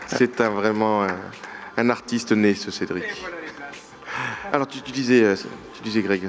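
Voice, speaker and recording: A man's voice: fairly low pitch, moderate pace. A man hosting a live web show, teasing his columnist and laughing often. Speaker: show host.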